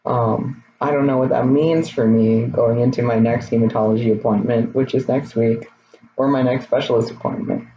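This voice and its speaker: A young man in his twenties, his voice a little raspy, talking candidly and hesitantly in a personal vlog. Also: medium pitch, fairly even intonation, normal speed, mellow. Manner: candid, rambling, hesitant with many fillers. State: slightly raspy voice. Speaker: vlogger. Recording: slightly dull, faint noise.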